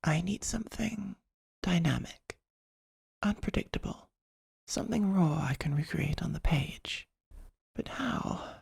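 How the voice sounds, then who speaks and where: A performer acting as a polite, poetic vampire artist in an ASMR roleplay. The voice is very breathy and husky, crackling with heavy fry. It stays soft, often near a whisper, with a shy, gently formal manner. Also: mid-range voice, measured pace, heavily acted. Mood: wistful, frustrated.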